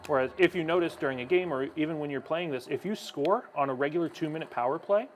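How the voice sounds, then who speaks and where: A man's voice: on the high side, quick pace, a little hiss or hum. A young man who broadcasts hockey, chatting while gaming and then calling the action play-by-play.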